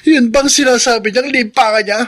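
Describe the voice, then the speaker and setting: Blunt, emotional younger brother. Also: male voice, extremely high pitch, a little fast, a bit bright. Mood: indignant. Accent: Filipino. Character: bitter, hurt younger brother, youngest child. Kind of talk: Tagalog radio drama acting.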